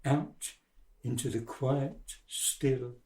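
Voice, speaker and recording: A man playing a measured, atmospheric storyteller, narrating a radio drama, with an echoey sound. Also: medium pitch, warm tone. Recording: echoey room.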